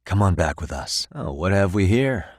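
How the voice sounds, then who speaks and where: A man's voice: deep voice, sing-song, normal speed, no echo, studio quality. A man with an American accent voicing a cool, determined private detective in a video game, speaking in a casual, wry, conversational way. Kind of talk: video game voice acting, naturalistic dialogue.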